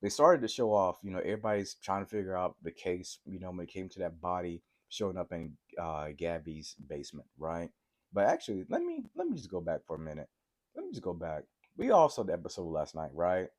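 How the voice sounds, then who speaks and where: Male TV reviewer, congested from a cold and sore throat, excitable chatty recap delivery, very low pitch. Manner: excitable, keeps asking "right?" to viewers. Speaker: TV episode reviewer. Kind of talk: casual TV recap vlog.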